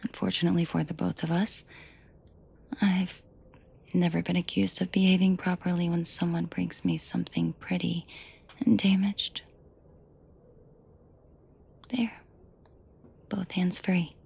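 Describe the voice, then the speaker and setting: A woman playing a menacing, protective and flirtatious mafia boss in an intimate audio roleplay, with a breathy, husky voice and dry sarcasm. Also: level tone, a little mellow, heavily acted. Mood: soft, flirtatious, protective. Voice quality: breathy, husky low voice with vocal fry. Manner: slow, deliberate, dryly sarcastic, often dropping to a murmur. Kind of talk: intimate audio roleplay acting addressed to the listener.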